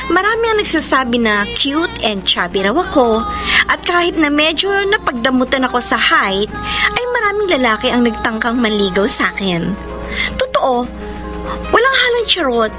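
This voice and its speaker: An actress playing a sassy, playful young Filipino woman in a radio drama, with campy, slangy banter. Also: on the high side. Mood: confiding. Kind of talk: radio drama acting with letter narration. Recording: music bed under voice.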